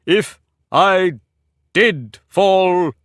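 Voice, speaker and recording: A man's voice: high voice, big pitch swings, unhurried, fairly bright tone, no echo, clean studio sound, voice pushed up, heavily acted. A man voicing a pompous, touchy talking egg in a storybook reading, boastful and argumentative. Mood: haughty. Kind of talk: audiobook character reading.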